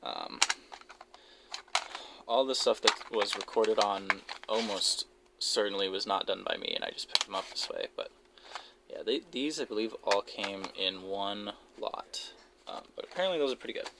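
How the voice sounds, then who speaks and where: A man giving casual, unscripted show-and-tell commentary in a low-key, halting way, as if musing to himself. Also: vocal fry. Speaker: hobbyist video creator showing a cassette collection. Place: basement, indoors. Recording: noisy amateur audio with clicks and handling noise.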